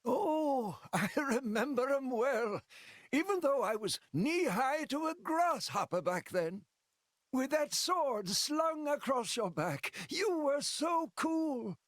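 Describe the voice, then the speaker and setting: An excitable old village man, very high in pitch and swooping widely as he talks. He is folksy and effusive, a nostalgic video game character who stretches out his exclamations. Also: slow pace, no room sound, clean studio sound, full character voice. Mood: fond reminiscence. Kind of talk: video game cutscene acting.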